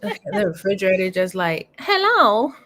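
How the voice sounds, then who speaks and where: A woman co-hosting a casual online chat from a home room over a video call, teasing and quick to laugh. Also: mid-range voice, big pitch swings, a bit bright. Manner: giggly, teasing banter. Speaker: co-host.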